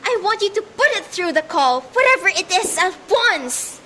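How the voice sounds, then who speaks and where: Girl playing super high voiced bedridden wife, nervy and bossy, radio play, bit of background noise. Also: very animated, moderate pace, bright, forceful tone, full character voice. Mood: indignant, exasperated. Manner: imperious, agitated, complaining. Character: anxious, demanding bedridden invalid wife, increasingly hysterical.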